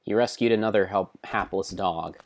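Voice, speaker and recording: A man narrating video game play as a solemn, theatrical storybook tale, in measured phrases. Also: low-pitched. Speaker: streamer narrating own gameplay.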